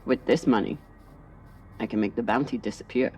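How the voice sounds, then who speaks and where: A woman's voice: rumbling low, brisk, darkish tone, slightly rough, distant mic. A woman playing a tough, terse spaceship officer in a video game cutscene. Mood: grim, resolved. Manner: terse, commanding. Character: tough, guarded female spaceship first officer, Belter scavenger.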